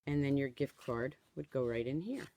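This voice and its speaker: A woman in her sixties, slightly hoarse from a cold, leading a live craft tutorial in a chatty way and often checking in with "okay?". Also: very deep voice, normal speed, dark tone. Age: 60s. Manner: chatty, tags instructions with "okay?". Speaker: stamping craft demonstrator.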